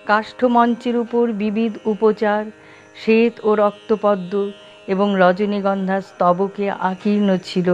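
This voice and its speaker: A woman's voice: mid-range voice, a little mellow. Formal woman narrator, slightly dull sound. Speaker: documentary narrator. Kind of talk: scripted narration in formal literary prose. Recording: dull, lo-fi, music behind.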